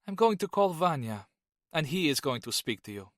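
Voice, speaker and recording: A man voicing a cold, ruthless young woman operative in an audiobook, calm and commanding. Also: fairly high pitch, normal speed, dry recording, studio quality, voice pushed up. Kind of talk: audiobook character voice.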